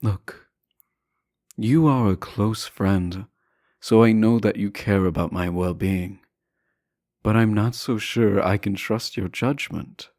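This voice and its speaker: A man playing a teasing, self-assured deposed prince in a romantic audio roleplay, speaking softly and deliberately with courtly, formal phrasing. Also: fairly low pitch, dry recording, clean studio sound. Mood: probing, defensive. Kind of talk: romantic audio roleplay acting, addressing the listener.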